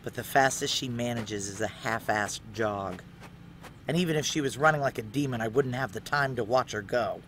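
A woman's voice: rumbling low, moderate pace, background noise. An actress playing a tough, guarded, suspicious woman on the run in a noir audio drama, speaking in clipped, hard-boiled phrases. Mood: grim, tense. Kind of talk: noir audio drama acting with first-person narration.

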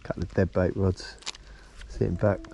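A man's voice: low-pitched, little pitch movement, normal speed, vocal fry, dark tone, slight background noise. A middle-aged man with a bit of a cold, chatting casually and muttering to himself as he fishes outdoors. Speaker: angler filming a fishing vlog. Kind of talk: casual chat while fishing. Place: outdoors on a riverbank. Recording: outdoor action camera with background ambience.

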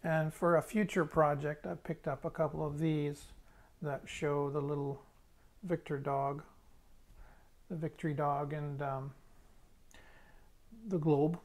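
A man's voice: on the high side, flat delivery, slow pace, pauses often. Man casually talking through hands-on work. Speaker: hobbyist restorer presenting a tutorial. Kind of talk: casual hands-on demonstration commentary.

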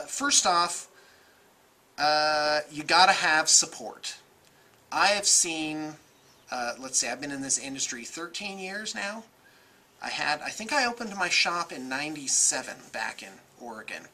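An American man in his forties giving casual, off-the-cuff opinions in an animated, conversational way. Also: a little high, a little hiss or hum. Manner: animated, gesturing, conversational, self-qualifying. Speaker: vlogger, miniatures shop owner. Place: indoor room with bookshelves.

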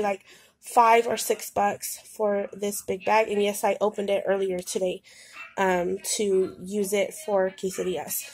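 A congested woman talks through her groceries at home, her nose stuffed up from a cold. She rambles in a relaxed, chatty way with plenty of ums, in a fairly high voice. A faint hiss sits under the phone recording. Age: adult with a daughter. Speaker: vlogger. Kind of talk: casual grocery haul vlog. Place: home, indoors. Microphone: phone camera pointed at groceries.

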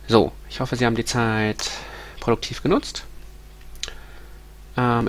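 Congested male lecturer, 30s, hesitant and halting, gravelly texture, desk mic. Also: mid-range voice. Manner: hesitant, many ums and restarts. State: stuffy nose from a cold. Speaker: university lecturer. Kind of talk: recorded lecture with slides. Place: small room at home.

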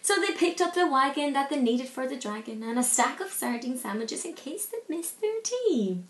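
Young woman reading a picture book to kids, high voice, expressive, bit echoey at home. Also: a little hiss or hum. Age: young adult. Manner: animated, drawn-out emphasis. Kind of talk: expressive read-aloud storytelling for children. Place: small living room at home, indoors. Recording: roomy home phone recording.

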